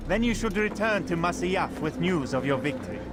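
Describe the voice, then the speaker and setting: An actor playing an imperious, dismissive superior of assassins in a video game cutscene, clipped and sardonic. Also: male voice, high-pitched, lively intonation, brisk, noisy background. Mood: curt, impatient. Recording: loud music behind.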